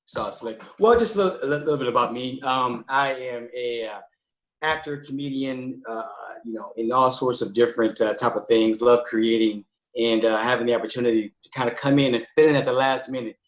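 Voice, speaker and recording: A man's voice: medium pitch, a little fast, echoey room, poor audio. A man guest-hosting a live online talk show, upbeat and loose, with many fillers. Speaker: guest host.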